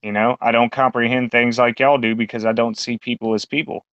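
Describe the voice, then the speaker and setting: A man's voice: medium pitch, level tone, fairly quick, a bit bright, no room sound. A man co-hosting a podcast, rambling through a casual, crude chat full of fillers and swearing. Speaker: podcast co-host. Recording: dull lo-fi sound.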